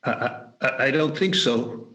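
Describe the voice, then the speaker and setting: A man's voice: mid-range voice, slow pace, bright, forceful tone. A man voicing an old miser who has been humbled by a ghost, speaking in hesitant, stammering lines. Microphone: video call.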